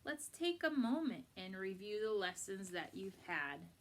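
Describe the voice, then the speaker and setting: A woman reading a picture book aloud to children in an expressive, deliberate, instructive way. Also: medium pitch. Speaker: storybook reader for children.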